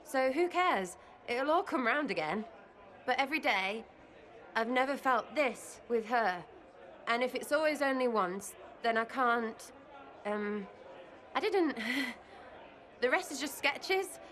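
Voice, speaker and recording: Cheeky slangy young woman, slow drawl, highish voice. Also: expressive, fairly bright tone, some chatter behind. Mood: nervous. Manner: rambling, crude slang, giggly. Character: brash, playful young woman proposing. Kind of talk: video game cutscene acting.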